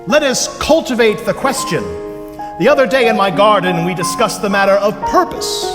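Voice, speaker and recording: An actor playing a gentle, wise leader of night spirits in a ceremonious, tender stage style, in a reverberant auditorium. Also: male voice, sits high, normal speed, lightly acted. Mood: gentle, reflective. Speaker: actor in a staged reading of a musical. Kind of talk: theatrical stage acting. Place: large indoor high school auditorium. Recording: reverberant school auditorium with piano underscore. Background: music.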